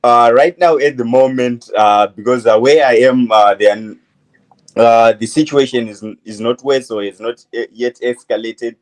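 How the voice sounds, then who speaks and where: A man in his twenties from Zimbabwe, a medical student answering interview questions in a halting way, full of uh fillers. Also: medium pitch, poor audio. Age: 20s. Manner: hesitant, many uh fillers, halting phrases. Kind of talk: phone interview.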